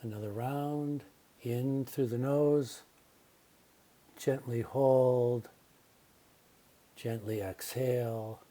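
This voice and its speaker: Man, very dark relaxed tone, calm yoga nidra teacher, gentle guided relaxation, unhurried phrases with pauses. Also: mid-range voice.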